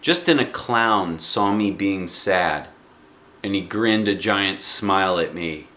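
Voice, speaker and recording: A man in his forties reading a children's book aloud expressively, with dramatic pauses and emphasis. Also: deep voice, sharp tone. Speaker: storybook reader. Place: small living room indoors.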